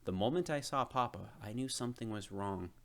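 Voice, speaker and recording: A man reading a children's picture book aloud as an expressive storyteller, with measured phrasing and emphasized words. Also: lowish voice.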